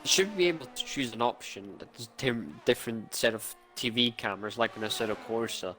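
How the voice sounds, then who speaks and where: Hesitant male co-commentator, mid-pitched voice. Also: fairly quick, bright, forceful tone, faint music behind. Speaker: sim racing co-commentator. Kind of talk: casual live race commentary. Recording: faintly noisy stream microphone.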